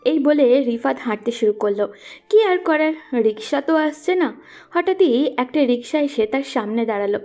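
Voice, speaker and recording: A woman's voice: high voice, lively intonation, normal speed, mellow, soft background music. A woman narrating a scripted romantic audio story.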